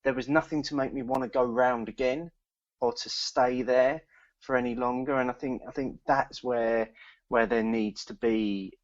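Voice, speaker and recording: A man co-hosting a podcast speaks at a middling pitch in a halting, easygoing way, often stumbling over and repeating his words. The sound is dry and dead, with a slightly dull, lo-fi tone. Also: fairly even intonation, dry recording. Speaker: podcast co-host. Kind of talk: casual chat.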